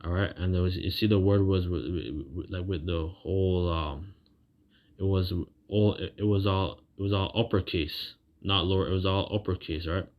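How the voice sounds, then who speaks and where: Male street-style preacher, deep voice, loose halting delivery with repeated phrases and casual check-ins, muffled lo-fi sound. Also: fairly even intonation. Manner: colloquial, seeks agreement with tags like "right". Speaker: religious preacher. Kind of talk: informal sermon with scripture reading.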